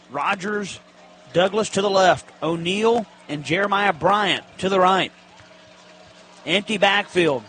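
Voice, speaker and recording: A man with a high, forceful voice calls college football play-by-play for radio. His calls come in short, clipped present-tense phrases. Light stadium noise sits behind him.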